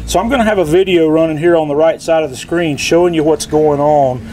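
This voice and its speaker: A man's voice: fairly high pitch, a little fast, a little mellow, slight background noise, low-quality recording. A middle-aged man, explaining step by step in a casual, folksy how-to style as a product demonstrator. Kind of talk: casual instructional how-to narration.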